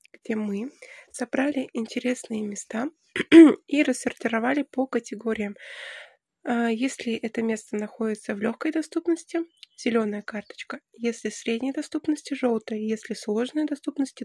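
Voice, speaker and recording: A young woman with a slightly hoarse voice from a cold, chatting casually as she hosts a travel vlog and explains plans to a companion. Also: a little high, normal speed, a little mellow, no echo, clean studio sound.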